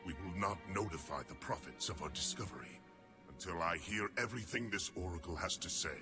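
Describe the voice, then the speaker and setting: A man's voice: sits low, a little fast, over music. A man voicing a proud, grave alien warrior commander in a video game, speaking formally and with authority. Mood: grim, commanding. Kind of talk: video game cutscene acting.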